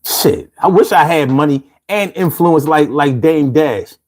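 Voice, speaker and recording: A man's voice: a little high, a little slow. A man hosting a casual live stream, reading out viewer comments with laid-back, chuckling talk, from the United States.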